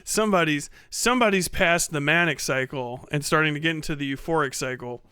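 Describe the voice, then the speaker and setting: Male streamer, slightly high bright voice, loose sweary chat with viewers. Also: a little fast, clean tone, dry recording, clean studio sound. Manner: profane, slangy. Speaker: livestream host. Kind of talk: casual livestream chat, reading and answering viewer comments.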